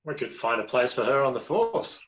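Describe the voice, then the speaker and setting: An actor playing a patient, dry-witted police officer, measured in tone. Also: male voice, medium pitch, big pitch swings, a bit bright. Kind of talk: broadcast drama acting. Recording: dull lo-fi recording.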